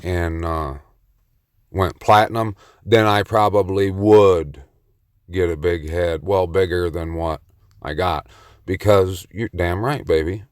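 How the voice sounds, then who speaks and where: Male vlogger, low and unhurried, clear close dry voice, cocky rambling brag. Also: no room sound. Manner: boastful and playfully cocky; slow, meandering delivery. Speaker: solo vlogger on the mic. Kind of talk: rambling self-recorded monologue.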